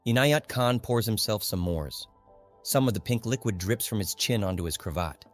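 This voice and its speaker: A low-pitched man reads scripted literary fiction as an audiobook narrator. His delivery is measured and dramatic, with soft music underneath. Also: animated. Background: faint music.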